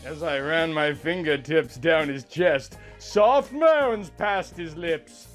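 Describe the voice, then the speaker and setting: Slow, theatrical man narrating over music. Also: fairly high pitch, animated. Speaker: narrator reading a fan story. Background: background music.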